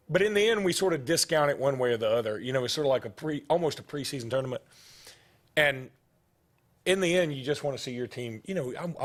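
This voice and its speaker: A middle-aged man, hosting a sports talk show and rambling through stories in casual chat. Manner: rambling storytelling, jumps in pitch when animated.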